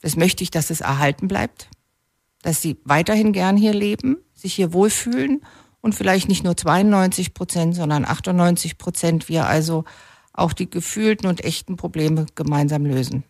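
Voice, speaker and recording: A woman's voice: fairly low pitch, moderate pace, darkish tone, no echo, clean studio sound. A woman from Berlin answering interview questions on the radio as a political candidate. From Berlin, Germany. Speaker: mayoral candidate being interviewed.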